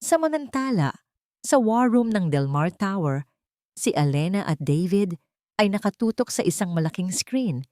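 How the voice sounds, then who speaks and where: A woman's voice: low voice, darkish tone, no echo, studio quality. A woman narrating a scripted dramatic story as a storyteller.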